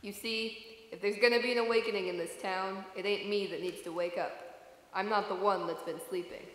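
A woman playing a wry, deadpan female private eye narrating her case in a live old-time radio drama. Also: medium pitch, a little fast, natural voice. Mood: earnest, wry. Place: church sanctuary stage. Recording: roomy church hall echo, noisy.

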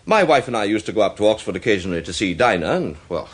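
An actor playing a polite, earnest gentleman who speaks hesitantly and apologetically in a radio drama. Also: on the low side, big pitch swings, very fast, breathy edge. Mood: earnest, explaining. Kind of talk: British radio drama acting.

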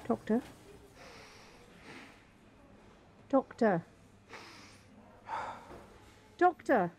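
A woman's voice: a little high, sing-song, normal speed, darkish tone, a little hiss or hum. A woman playing a concerned, insistent hospital colleague in an audio drama.

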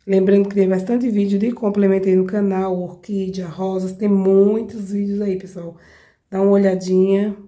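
A hoarse Brazilian woman with a cold hosts a craft tutorial. She chats with her viewers while she demonstrates each step, often checking that they follow. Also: mid-range voice, little pitch movement, moderate pace, mellow, dull audio. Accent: Brazilian Portuguese. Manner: chatty, addresses viewers directly with frequent checks. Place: home craft table, indoors.

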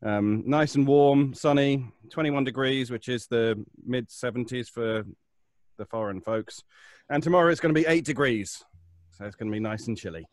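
A man with an English accent chats in a mid-pitched voice. His manner is droll and measured, and the sound is close and dry. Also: no room sound. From England. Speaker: guest performer. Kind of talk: casual chat.

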